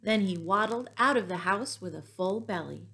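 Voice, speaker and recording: A middle-aged woman reading picture books aloud expressively to young children, pausing to explain words. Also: medium pitch, expressive, vocal fry, pushed and bright. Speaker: children's storyteller and teacher. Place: small room at home, indoors.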